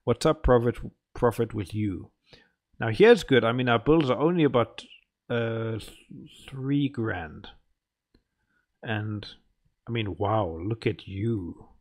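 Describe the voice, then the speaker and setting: A man's voice: medium pitch, animated, slow pace, darkish tone. A man giving casual let's play commentary as a gaming host, muttering and thinking aloud.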